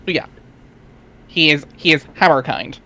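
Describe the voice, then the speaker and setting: A man's voice: fairly high pitch, moderate pace, bright, forceful tone. A man chatting informally among co-readers, giving jokey, explanatory asides. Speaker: co-reader who explains and comments. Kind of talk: casual chat, explanatory asides.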